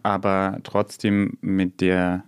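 A man from Odessa speaking calmly and reflectively as a podcast guest in a studio. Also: low-pitched, slow, smooth, no room sound. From Odessa, Ukraine. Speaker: interview guest. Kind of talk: podcast interview.

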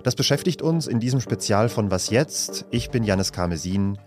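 A man hosting a news podcast, narrating a scripted report in a calm, measured way from an office. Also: fairly low pitch, over music. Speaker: podcast host.

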